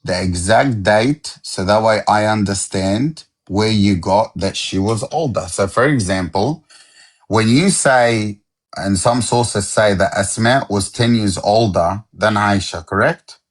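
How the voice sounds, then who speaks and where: A man's voice: lowish voice, measured pace. Insistent man demanding sources. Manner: talks over others, interrupts. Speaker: debater. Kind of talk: heated live-stream debate.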